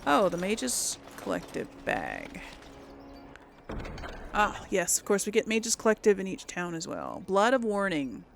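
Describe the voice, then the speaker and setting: A woman's voice: medium pitch, huge pitch swings, unhurried, vocal fry, a little hiss or hum. A woman doing casual, chatty let's play commentary, thinking aloud and reading in-game text. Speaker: host of a role-play game series.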